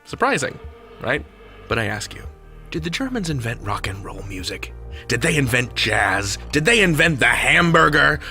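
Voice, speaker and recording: A man's voice: medium pitch, sing-song, moderate pace, bright, forceful tone, faint music behind. A man hosting a podcast in a chatty, comic style, playful and conversational. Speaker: podcast host.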